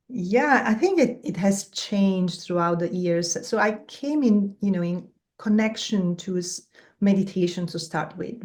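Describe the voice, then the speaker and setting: A middle-aged woman, a mindfulness teacher and guest, answering in a measured, reflective way with pauses. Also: fairly low pitch, darkish tone.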